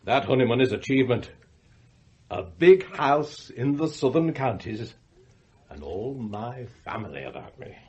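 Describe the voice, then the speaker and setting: Male actor doing a pompous moneyed magnate, radio drama, slow n deliberate, lo-fi sound. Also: mid-range voice, expressive, a little mellow, lightly acted. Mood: self-satisfied musing. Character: anxious, self-satisfied wealthy magnate and family patriarch.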